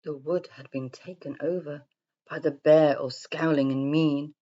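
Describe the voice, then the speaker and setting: A woman's voice: deep voice, fairly even intonation, a little slow, dark tone, dull audio. A woman storyteller reading a rhyming children's story aloud as scripted narration.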